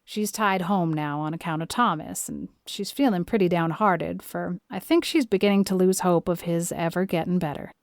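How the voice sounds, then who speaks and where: A woman playing a stern, no-nonsense older country guardian in an audio drama, brisk, opinionated and plain-spoken. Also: fairly low pitch, no echo, studio quality, close to an everyday voice. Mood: gossipy, dry.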